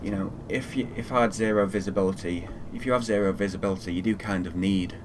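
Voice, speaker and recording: A man from Fiji giving casual, low-key live commentary as a flight simulator streamer, muttering through procedures as he goes. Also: fairly even intonation, fast talker, noisy background.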